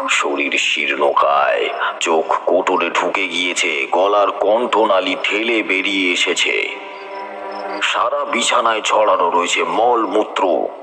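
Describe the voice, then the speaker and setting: A man narrating a horror audio story in the first person, with dramatic, suspenseful and deliberate delivery. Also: extremely low pitch, bright, forceful tone.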